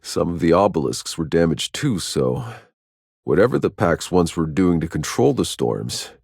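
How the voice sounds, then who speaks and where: A battle-hardened male survivor from a science fiction game. His voice sits low, and he delivers his lines tersely, with dry sarcasm and a weary edge. The sound is tight and free of room reflections. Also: sing-song, moderate pace, creaky, darkish tone, breathy edge, no room sound, heavily acted. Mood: somber, recounting. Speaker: video game protagonist.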